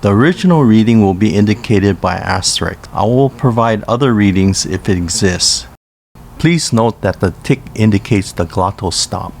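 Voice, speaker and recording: A man narrating a language lesson in a careful, scripted way, reading pairs of names slowly. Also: fairly low pitch, a little mellow, a little hiss or hum. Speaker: language teacher.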